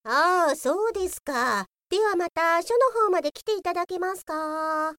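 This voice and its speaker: Very high-pitched zealous female police detective. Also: expressive, moderate pace, a bit bright, dry recording. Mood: stern. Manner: dramatic, declamatory, bursting into outrage. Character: zealous, stern female police detective enforcing office rules. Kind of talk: Japanese audio drama acting.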